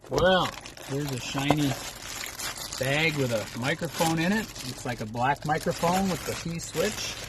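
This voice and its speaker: A man's voice: fairly high pitch, a little slow. A man talking casually as a trucker vlogger, explaining things in a folksy, rambling, step-by-step way. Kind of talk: casual unboxing vlog chat. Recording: clear background noise, vehicle ambience.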